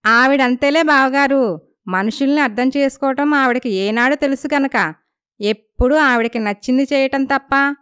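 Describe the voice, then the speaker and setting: A woman's voice: high-pitched, normal speed, fairly bright tone, smooth, dry recording, clean studio sound, pitched above the performer's own voice. A woman voicing a coaxing, resentful younger village sister-in-law in a moral story, with a complaining manner. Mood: wheedling.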